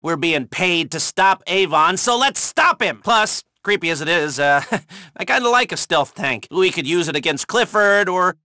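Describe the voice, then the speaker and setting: A wry male heist coordinator in a video game. His voice is high and sharp, recorded very dry. He rambles glibly and pushes his crew with urgency. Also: expressive, normal speed, dry recording. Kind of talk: video game mission radio dialogue.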